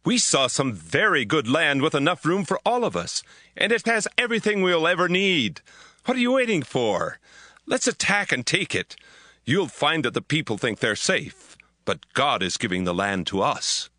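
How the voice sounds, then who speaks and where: A man's voice: on the high side, very animated, moderate pace, pushed and bright, no echo, studio quality. A man voicing a bold Danite warrior who talks urgently and persuasively. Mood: urging.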